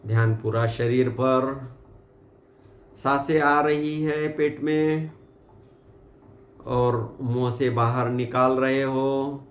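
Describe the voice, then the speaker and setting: A man with an Indian accent leading a guided meditation, giving calm, unhurried and gently directive instructions. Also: medium pitch. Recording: muffled, roomy sound with background hiss.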